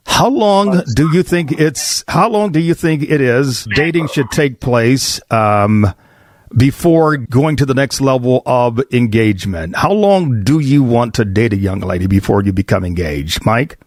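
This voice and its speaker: A man's voice: mid-range voice, lively intonation, measured pace, no echo, studio quality. A man hosting a church roundtable, putting questions to guests in a conversational interview style.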